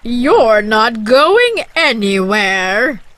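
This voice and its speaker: A woman voicing a bossy, scheming mother toad in a children's storybook, with a commanding, drawn-out delivery. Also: fairly high pitch, big pitch swings, fairly bright tone, no echo, exaggerated voice, poor audio. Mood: bossy, dismissive. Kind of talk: children's storybook character acting.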